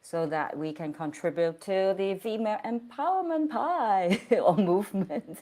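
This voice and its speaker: A woman's voice: mid-range voice, expressive, measured pace, warm tone. A woman hosting an online interview over a video call, speaking in an effusive, encouraging way and laughing often. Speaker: host.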